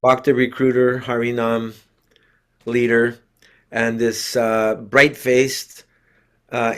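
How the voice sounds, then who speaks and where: An older man, a devotee preacher and host, addressing a religious gathering in a deliberate, earnest speaking style. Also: mid-range voice, fairly bright tone. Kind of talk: read-out sermon, then informal introduction.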